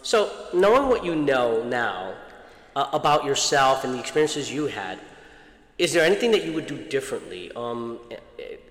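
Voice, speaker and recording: A man of medium pitch interviews a colleague for the school, supportive and given to stammered restarts. The room has a long, echoing reverb. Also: big pitch swings, moderate pace, fairly bright tone. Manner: supportive, repeats words as he restarts. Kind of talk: interview, informational outreach.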